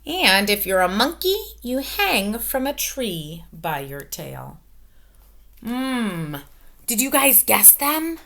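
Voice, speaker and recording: Bright, animated woman reading to children. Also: medium pitch, takes it slowly, creaky. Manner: expressive, emphatic, question-asking, child-directed delivery. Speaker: elementary school teacher. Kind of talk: children's picture book read-aloud with commentary. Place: small furnished room at home, indoors. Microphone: webcam or laptop microphone at arm's length.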